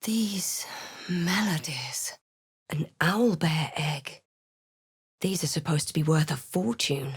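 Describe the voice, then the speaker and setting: A fantasy game adventurer, snarky and courageous, with a slightly low female voice. She speaks deliberately and with theatrical weight, as suited to spellcasting and storytelling. The sound is close and dry, free of room reflections. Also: breathy edge, no room sound, exaggerated voice. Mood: quietly curious. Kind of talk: video game voice lines, dramatic narration and spell chants.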